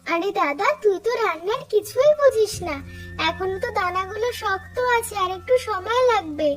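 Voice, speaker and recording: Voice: very high voice, animated, normal speed, fairly bright tone, faint music behind. An actor voicing a chatty, teasing young village girl in a cartoon story. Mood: teasing, bossy. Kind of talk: Bengali cartoon story dialogue.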